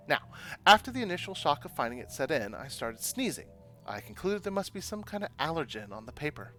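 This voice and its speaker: A man puts on a bossy young university woman from a visual novel. The delivery is imperious and self-important, with swinging pitch. Music plays underneath. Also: a bit bright. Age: young adult. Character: haughty, bossy young woman student obsessed with her thesis.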